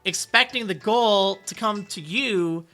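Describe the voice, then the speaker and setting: A man with a high, youthful-sounding voice streaming a game, reading viewer messages and answering with rambling encouragement. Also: unhurried, pushed and bright. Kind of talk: casual live-stream chat.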